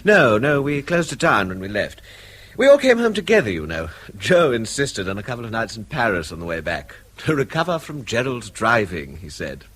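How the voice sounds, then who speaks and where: An actor playing an apologetic middle-aged uncle in a radio drama, with an English accent and a hesitant, uneasy manner. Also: male voice, medium pitch, very animated, moderate pace, fairly bright tone, slightly rough. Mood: chatty, genial.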